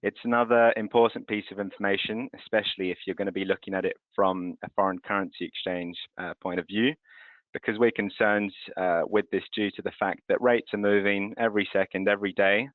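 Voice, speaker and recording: A man's voice: lowish voice, fairly even intonation, fairly quick, no room sound. A man from France presenting a webinar on a video call, explaining things in a fluent, businesslike way. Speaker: webinar host, currency transfer representative. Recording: tinny video call audio.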